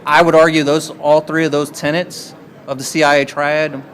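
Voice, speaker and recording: A man from Texas speaking as a conference panelist, halting and restarting with frequent fillers. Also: fairly high pitch, little pitch movement, fairly bright tone.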